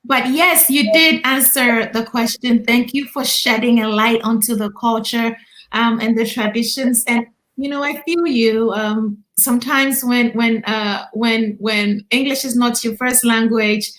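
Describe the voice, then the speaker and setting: Ghanaian woman hosting, high and echoey. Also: steady tone, a little slow, fairly bright tone. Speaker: host. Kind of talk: casual panel chat. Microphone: video call. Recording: echoey room over a video call.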